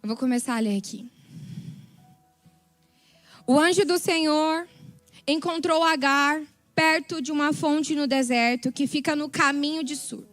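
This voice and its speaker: A woman preaching a passionate sermon with a Brazilian Portuguese accent, speaking with emphatic repetition and a slightly worn, hoarse voice. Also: sits high, unhurried, long pauses, a bit bright, faint music behind. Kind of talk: sermon testimony.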